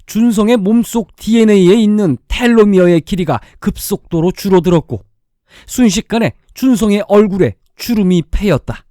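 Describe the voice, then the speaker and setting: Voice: medium pitch, moderate pace, warm tone, no room sound, studio quality. A storyteller reading a dramatic science-fiction tale in a scripted narrating style. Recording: music under the voice. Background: background music.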